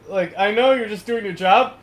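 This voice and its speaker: A man's voice: high voice, normal speed, rough recording. A man co-hosting a livestream, chatting casually and profanely. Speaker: livestream co-host.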